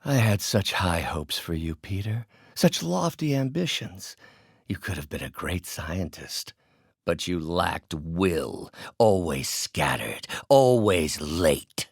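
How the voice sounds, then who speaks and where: An actor playing an older scientist in a video game, an earnest, proud and increasingly bitter mentor with deliberate, articulate, theatrical delivery. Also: male voice, sits low, animated, creaky, husky, dry recording, studio quality, exaggerated voice. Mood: bitter, disappointed. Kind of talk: dramatic video game voice acting.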